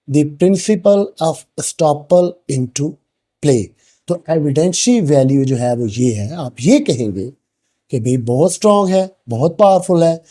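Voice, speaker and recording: A man's voice: a little high, dark tone. A man with a Pakistani accent lecturing didactically, explaining point by point and mixing in English legal terms. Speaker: law lecturer.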